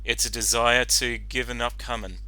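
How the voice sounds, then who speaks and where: A man giving casual, unscripted reaction commentary as a fan author, rambling and halting, often saying you know. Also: mid-range voice, little pitch movement, pushed and bright, dry recording, poor audio.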